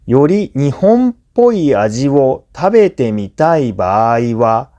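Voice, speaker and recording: A man who is a native Japanese speaker, reading scripted listening practice for learners in a clear, simple explanatory way. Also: medium pitch, very animated, takes it slowly, dark tone, muffled recording. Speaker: language teacher and narrator.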